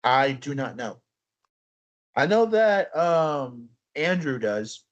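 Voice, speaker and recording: A man's voice: medium pitch, takes it slowly, lo-fi sound. Rambling young man co-hosting a podcast. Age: young adult. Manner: rambling, restarts often, animated storytelling. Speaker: podcast co-host. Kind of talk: casual chat.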